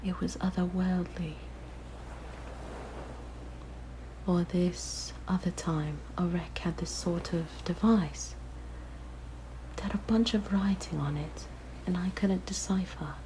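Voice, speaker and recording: Girl doing a clingy siren monster for asmr, soft breathy whisper, super slow and mellow, coaxing, bit of noise behind. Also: on the low side, lightly acted, low-quality recording. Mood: fascinated. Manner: gentle, wistful, fascinated, coaxing. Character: possessive, curious young female siren monster, softly luring. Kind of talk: ASMR roleplay, intimate monologue.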